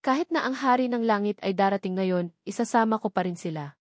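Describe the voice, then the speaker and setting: A woman's voice: mid-range voice, a little fast, no room sound, clean studio sound, lightly acted. A woman voicing a furious, defiant male martial warrior in a novel narration.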